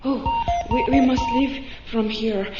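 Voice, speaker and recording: An actress playing a timid, anxious young foreign woman in a radio drama, speaking haltingly in broken grammar. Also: a little high, steady tone, over loud music. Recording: dull, echoey, distant radio drama recording.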